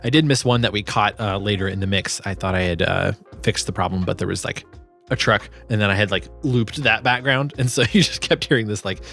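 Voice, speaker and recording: A man with a fairly low voice and plenty of vocal fry talks through his work as a sound designer. His delivery is casual and explanatory, like an informal tutorial. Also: brisk, a bit bright, faint music behind.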